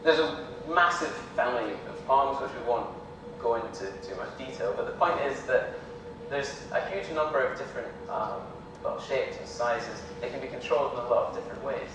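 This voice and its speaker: A young Scottish man giving an academic lecture in a relaxed, hesitant way, full of ums. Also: moderate pace. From Scotland. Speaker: visiting lecturer. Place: lecture hall. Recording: echoey hall from far off, with rumble.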